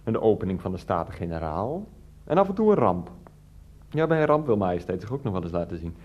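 A man's voice: on the low side, big pitch swings, quick pace, dull audio. A man acting a whiny, self-justifying 39-year-old ex-teacher husband in a radio play, speaking hesitantly with padded phrases. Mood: opinionated, insistent.

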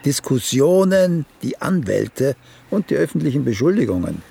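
A man's voice: medium pitch, big pitch swings, moderate pace, gravelly, mellow, husky, full character voice. A man playing a vain, wry, older playwright in a German radio drama, theatrical and sardonic. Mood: fussy, haughty.

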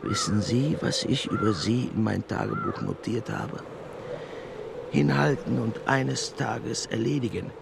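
Scornful Nazi minister: cutting, threatening, declamatory, mid pitch, outdoor ambience clearly behind. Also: male voice, lively intonation, measured pace, background noise. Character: menacing, scornful Nazi propaganda minister dictating his verdict. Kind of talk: German radio play acting.

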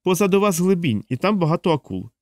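Audiobook character: commanding man of science, played by a male reader, raised pitch, insistent, deliberate, coolly persuasive. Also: big pitch swings, no echo, studio quality. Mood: urgent, persuasive.

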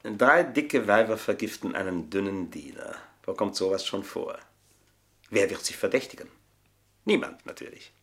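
An actor in a German radio play playing a scheming, gloating manservant with a pompous, mock-deferential, savouring delivery. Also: male voice, fairly low pitch, lively intonation, normal speed, a bit bright.

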